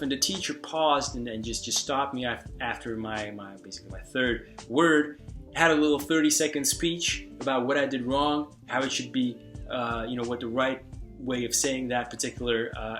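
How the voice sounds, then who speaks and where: A man whose first language is Hungarian talks through language-learning advice in a loose, unscripted way, circling back and restarting his sentences. His voice sits at an ordinary middle pitch. Faint guitar music plays quietly under him. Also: moderate pace. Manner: relaxed, rambling, many restarts and fillers. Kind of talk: casual spoken advice.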